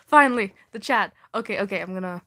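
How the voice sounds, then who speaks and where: A woman's voice: medium pitch, animated, brisk, fairly bright tone. A young woman streaming live, reading her old fan fiction aloud with self-mocking, swearing asides and frequent laughter, often breaking off mid-sentence. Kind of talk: casual livestream reading with asides.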